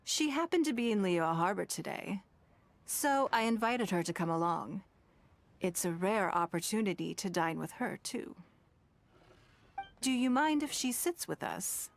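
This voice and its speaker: Classy game noblewoman, mid pitch, formal and composed, bit playful. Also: expressive, creaky. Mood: gracious. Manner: refined, measured, formal diction. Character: poised, elegant noblewoman, playful, self-assured. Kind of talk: video game voice acting.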